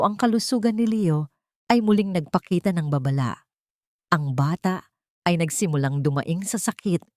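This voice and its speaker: A woman's voice: fairly low pitch, a little mellow, no room sound, studio quality. A woman storyteller reading a scripted dramatic story as narration.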